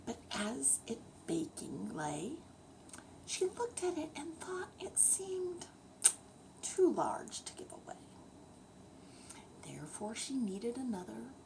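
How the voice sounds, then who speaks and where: An older woman recites a bedtime tale in verse for children over a clearly noisy home recording. Her pitch moves animatedly and her pace is slow. The voice is breathy and often hushed, with creaky fry at the edges. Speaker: bedtime storyteller.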